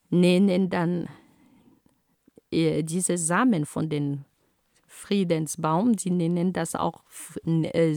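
A woman from Cameroon with a Cameroonian accent, giving a slow, deliberate prepared talk with frequent fillers and self-corrections. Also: lowish voice, a little mellow, dry recording, clean studio sound. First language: Homala. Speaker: speaker on peace and culture.

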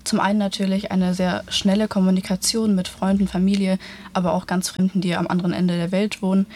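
A woman's voice: mid-range voice, little pitch movement, fairly quick, darkish tone. An eighteen-year-old woman, a student panelist, reading a prepared answer with many filler hesitations. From Germany.

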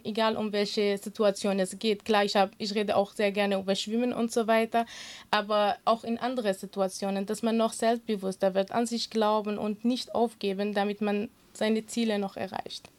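A woman whose first language is Kurdish, giving earnest, conversational interview answers in non-native German as a guest. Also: mid-range voice. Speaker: interview guest, swimming teacher and social media creator.

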